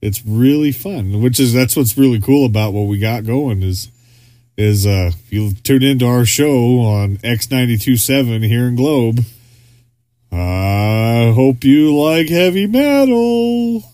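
A man's voice: mid-range voice, animated, unhurried, mellow, no room sound. A man co-hosting a podcast in casual chat, rambling with lots of fillers and restarts. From Arizona, US. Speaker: podcast co-host.

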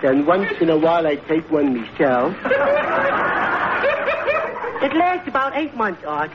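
An actor playing a cheerful, dim-witted, literal-minded barfly in an old-time radio comedy. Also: male voice, fairly high pitch, big pitch swings, unhurried, a loud crowd. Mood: goofy. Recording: muffled, very noisy vintage radio recording.

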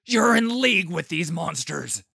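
A man doing a gruff, raspy blacksmith. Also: mid-range voice, big pitch swings, normal speed, dry recording, studio quality, full character voice. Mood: furious. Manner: gruff, hushed then accusing. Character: burly male blacksmith, wary and fierce. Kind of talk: audiobook character voice.

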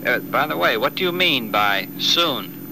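An actor playing a happy, lovestruck young fiancé with boyish, joking banter in a 1940s-style radio soap. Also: male voice, medium pitch, animated, a little slow, gravelly. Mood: playful, fond. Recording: noisy old radio drama recording.

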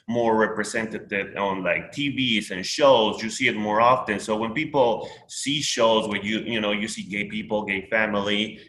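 A man's voice: fairly low pitch, bright, forceful tone, echoey room, a little hiss or hum, low-quality recording. A man from Peru with a Peruvian Spanish accent, Spanish his first language, talking in a rambling casual conversation full of fillers and restarts.

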